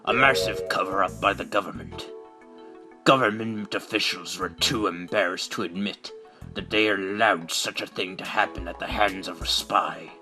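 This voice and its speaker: A man's voice: low voice, moderate pace, vocal fry. A man playing a pompous, secretive older space center director in a video game fandub, speaking in a theatrical, declamatory way. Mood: resigned. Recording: amateur recording with music.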